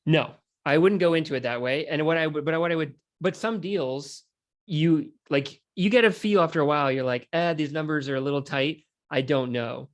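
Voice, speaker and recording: A man chatting casually and explaining how he works, in an informal, halting way peppered with filler words. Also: on the high side. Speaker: real estate wholesaler in conversation. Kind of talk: casual chat.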